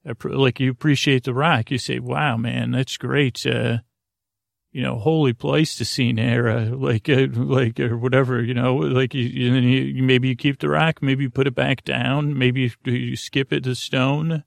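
A male podcast host rambles through a bedtime monologue in a gravelly, creaky voice at an ordinary male pitch. He meanders, halts and restarts his thoughts mid-sentence. The recording is very dry, with no room sound around the voice. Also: fairly even intonation, no echo. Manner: meandering, halting, self-interrupting.

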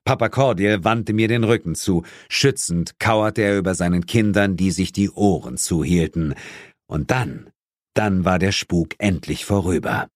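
A man's voice: low voice, unhurried, no echo. A man narrating a horror-comedy audiobook in a dramatic scripted style, recorded in a studio. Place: dry studio.

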